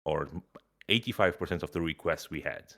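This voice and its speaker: A man from Portugal, a company executive, talking in relaxed, casual interview style with easy laughs and fillers. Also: a bass voice, moderate pace, creaky. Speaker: CEO.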